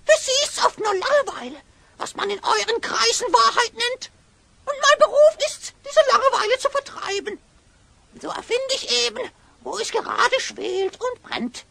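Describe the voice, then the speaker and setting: A woman's voice: very high voice, big pitch swings, moderate pace, creaky, pushed and bright, no room sound, exaggerated voice. A woman playing a scheming hyena spy in an audio drama, with oily, theatrical rhyming speech. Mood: gleeful, cynical. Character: scheming hyena spy, sly slanderer who speaks in rhyme. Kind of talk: German children's audio drama acting.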